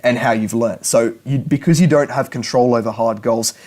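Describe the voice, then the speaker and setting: A man's voice: medium pitch, fairly even intonation, normal speed, a little mellow. A young man who speaks English natively, explaining language learning in a casual video lesson, often repeating and restarting words as he goes.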